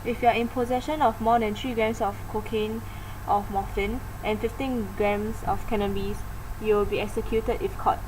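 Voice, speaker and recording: A woman reads prepared interview questions at an average pitch. Noticeable noise runs behind her. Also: steady tone, moderate pace. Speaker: student interviewer.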